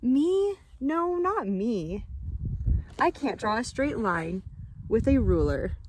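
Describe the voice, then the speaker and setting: A woman's voice: on the high side, big pitch swings, slow, darkish tone, slight background noise. A woman reading the part of a shy, self-doubting little boy in a storybook, hesitant and protesting. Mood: shy, doubtful.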